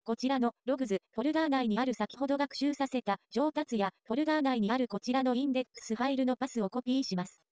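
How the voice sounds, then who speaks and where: Woman doing scripted tutorial voiceover, kinda high pitched but soft-toned, very dry sound. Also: quick pace, dry recording. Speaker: tutorial narrator. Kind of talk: scripted software tutorial narration.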